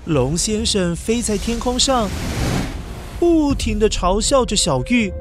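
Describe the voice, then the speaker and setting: A woman's voice: medium pitch, lively intonation, slow, music in the background. A woman telling a scripted story to young children, speaking expressively and addressing them directly.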